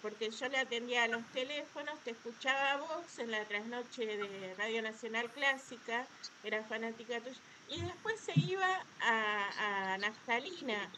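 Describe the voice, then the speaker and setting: A woman's voice: on the high side, moderate pace, sharp tone. A woman with a Buenos Aires Argentine accent, casually reminiscing as a co-host at a talk. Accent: Buenos Aires Argentine Spanish. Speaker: workshop teacher, co-host. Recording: noisy room with clear background noise.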